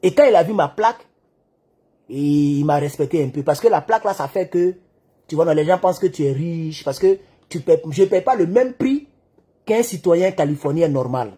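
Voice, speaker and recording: A man giving an animated political monologue, acting out the dialogue in his stories. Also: fairly high pitch, normal speed, slightly rough. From Côte d'Ivoire. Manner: excitable storyteller who acts out dialogue. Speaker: online political commentator.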